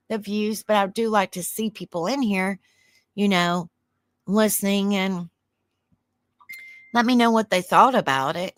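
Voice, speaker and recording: A woman with a Southern US accent and a soft, soothing voice hosts a livestream. She chats in a rambling way, reads aloud and answers viewer comments. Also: medium pitch, dry recording. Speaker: livestream host. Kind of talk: casual livestream chat, reading aloud.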